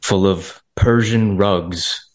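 A man voicing an older hard-drinking husband, slow and deliberate, with a gristly voice, as if writing fondly to his wife. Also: low-pitched. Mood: tender, wistful.

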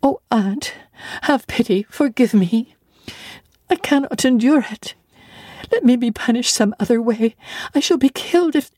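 A woman voicing a frightened young girl who pleads in a high, breathless voice. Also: lively intonation, measured pace, warm tone, dry recording, studio quality. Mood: pleading.

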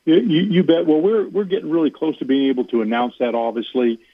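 A man's voice: fairly high pitch, steady tone, fast talker, no room sound. A man guest on a sports radio show, giving earnest answers and repeating words for emphasis. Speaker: athletic director guest. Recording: phone line.

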